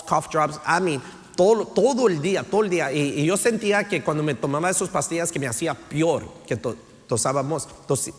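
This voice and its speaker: A man, preaching a sermon as pastor, mixing in English words and asking "right?" to check in with his listeners. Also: a little high. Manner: switches between Spanish and English, says "right?" often; preaching with rising emphasis, tells stories. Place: church sanctuary. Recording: church hall reverb.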